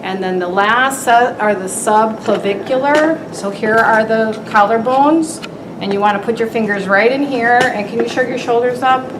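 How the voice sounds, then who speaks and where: A woman's voice: fairly high pitch, moderate pace. A woman clinical instructor methodically explaining a physical exam as she demonstrates it, in an explanatory teaching style. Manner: methodical, explanatory, lists anatomical terms. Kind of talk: instructional demonstration, narrating while examining. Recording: roomy, distant mic, clear background noise.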